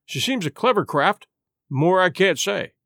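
A man's voice: high voice, animated, a little slow, gravelly, a bit bright, no room sound, studio quality, exaggerated voice. A man voicing a stern, blunt sea captain who speaks plain and clipped, in a storybook reading.

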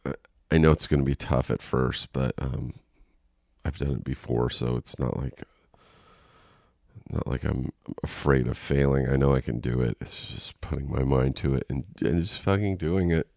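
A man's voice: extremely low pitch, moderate pace, creaky, darkish tone, no echo, studio quality. A congested man hosting a solo podcast, rambling frankly with lots of ums and restarts, sounding a little nasal and coughing. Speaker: podcast host. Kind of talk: casual solo podcast monologue. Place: home.

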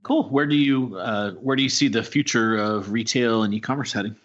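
A man's voice: medium pitch, a little fast, a bit bright. A man co-hosting a podcast, asking a guest questions in an easygoing, casual way. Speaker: podcast co-host. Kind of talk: casual interview chat. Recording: lo-fi audio.

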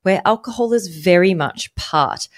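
A woman's voice: on the low side, animated, unhurried. A woman from Egypt who moved to Australia, co-hosting a health podcast as a dietitian in a conversational style. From Egypt, later moved to Australia.

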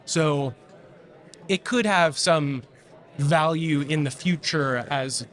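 A young man in his twenties, a graduate student answering interview questions about his research in a relaxed, technical way with fillers and restarts. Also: a little high, takes it slowly.

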